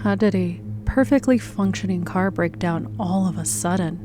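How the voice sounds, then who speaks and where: A woman's voice: on the low side, background noise. A woman hosting a casual let's-play, reading game text aloud and stumbling a little as she goes. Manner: halting, stumbling when reading. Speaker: gaming video host.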